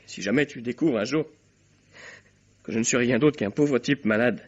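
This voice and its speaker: Male, husky, actor as jittery self-serving suspect in a radio drama; defensive stammer, muffled old recording. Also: mid-range voice, moderate pace, lightly acted. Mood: defiant. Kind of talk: French radio mystery drama acting.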